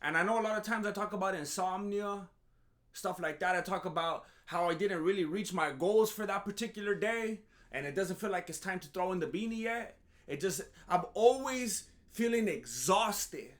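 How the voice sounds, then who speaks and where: A high-pitched man rambles through a casual commentary monologue, full of sarcasm and self-mocking jokes. He restarts his sentences often and sounds a little groggy, as if just up from a nap. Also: moderate pace, fairly bright tone. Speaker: MMA commentary vlogger.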